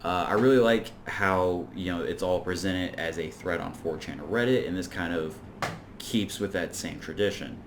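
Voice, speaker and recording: A man's voice: low voice, normal speed, background noise. A man hosting an informal video essay, recapping and commenting in a rambling, conversational way. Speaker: video reviewer and host.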